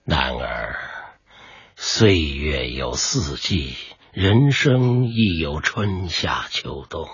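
Man acting a very slow, husky old sage. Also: rumbling low, animated, dry recording, dull audio, full character voice. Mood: solemn, patient. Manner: slow, solemn, philosophical. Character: grave, sage old martial arts master, patient. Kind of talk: radio drama acting.

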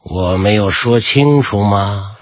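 An actor playing a stern, patient old Taoist kung fu master in a radio drama, husky and measured. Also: male voice, fairly low pitch, no echo, highs cut off. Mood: chiding. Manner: measured, authoritative.

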